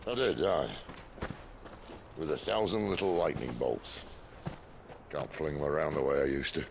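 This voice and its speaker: An actor playing a world-weary, roguish rebel leader in a video game, gravelly and wry. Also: male voice, a bass voice, moderate pace, a little hiss or hum. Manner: wry, laconic. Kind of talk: dramatic video game acting.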